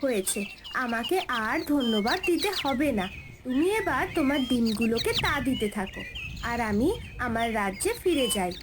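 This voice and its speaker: Woman voicing a high-pitched, kind mermaid heroine. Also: expressive, moderate pace, noisy background, natural voice. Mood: caring. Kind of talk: Bengali fairy-tale cartoon dubbing.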